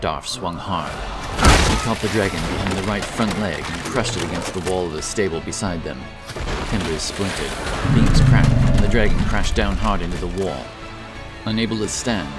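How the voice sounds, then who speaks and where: A man narrating a fantasy audiobook as a scripted dramatic story, with intense, theatrical delivery. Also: low voice.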